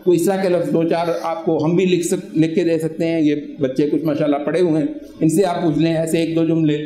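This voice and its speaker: High-voiced Urdu-accented imam, roomy hall. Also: male voice, a little fast. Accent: Pakistani Urdu. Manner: animated, gesturing, explanatory with Arabic recitations. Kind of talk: Friday sermon. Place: mosque prayer hall, indoors. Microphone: stand microphone and lapel mic.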